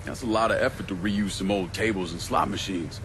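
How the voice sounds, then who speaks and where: An actor playing a grim, relentless vigilante who speaks tersely and bluntly in a video game cutscene. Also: male voice, low voice, fairly quick, noisy background, close to an everyday voice. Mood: terse, determined.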